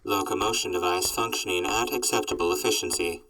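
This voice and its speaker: A man voicing a male robot companion that speaks flatly, mechanically and gloomily, in an audio drama. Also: sits low, fairly quick, recorded from a distance, lightly acted, low-quality recording. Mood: deadpan. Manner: flat, mechanical, deadpan.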